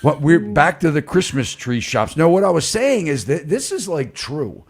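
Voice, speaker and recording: Male host with a Baltimore accent, husky and animated, jokey and loose. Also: fairly high pitch, moderate pace. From Baltimore, USA. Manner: animated, joking, says "man" often. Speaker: real estate live stream host. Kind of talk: casual live stream chat.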